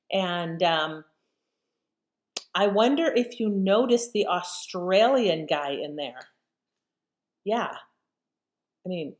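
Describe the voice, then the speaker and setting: A woman hosting in a casual chat style, informal and wry, thinking aloud with hesitations. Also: fairly low pitch. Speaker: host commenting on old radio shows.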